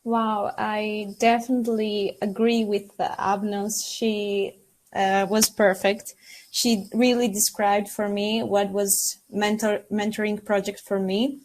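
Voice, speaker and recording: A woman speaks slowly as a podcast guest. She pauses often and fills the gaps with small hesitations and restarts. Her voice sits at an ordinary middle pitch. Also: flat delivery, a little mellow. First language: Greek. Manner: hesitant, many fillers and restarts. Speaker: podcast guest, former mentee. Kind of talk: unscripted podcast answer.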